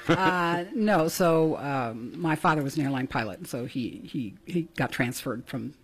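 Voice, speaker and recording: A woman's voice: deep voice, unhurried, husky. A middle-aged woman from Venezuela, a political candidate, talking about her life with self-deprecating jokes. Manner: self-deprecating, joking, fluent. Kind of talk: interview guest.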